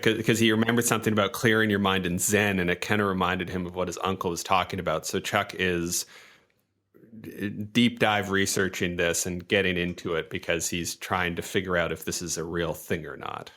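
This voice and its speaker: Low, bright-voiced man improvising a game story. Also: fairly even intonation, moderate pace. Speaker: tabletop game player. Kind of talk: casual improvised third-person storytelling for a game character.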